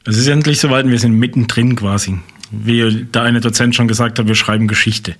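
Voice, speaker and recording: Relaxed male podcast co-host. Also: mid-range voice, fairly quick. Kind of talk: casual podcast chat. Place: on site at a training course.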